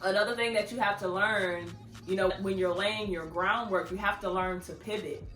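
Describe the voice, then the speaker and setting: A woman's voice: mid-range voice, fairly even intonation, moderate pace, fairly bright tone. A woman in her thirties hosting her own channel, giving casual advice to the camera in a chatty, playful way and laughing often. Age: 30s. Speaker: vlogger, channel host. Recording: echoey room with faint music.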